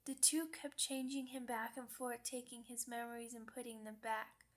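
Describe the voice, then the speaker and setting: A woman voicing a sweet, timid young orphaned werewolf pup in an ASMR roleplay, with a childlike, hesitant, halting delivery. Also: on the high side, little pitch movement, close to an everyday voice. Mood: wistful storytelling.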